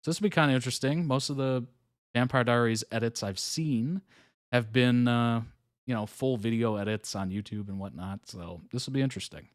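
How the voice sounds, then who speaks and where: A man's voice: mid-range voice, a little fast, dry recording, clean studio sound. A man hosting a live reaction stream, chatting casually with viewers, laughing often and using lots of fillers.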